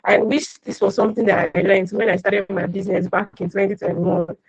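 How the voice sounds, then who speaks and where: A woman's voice: mid-range voice, steady tone, brisk, a little mellow, slightly rough, no echo. A woman hosting an online class over a video call, chatty and welcoming, greeting attendees by name. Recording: muffled dry video call audio.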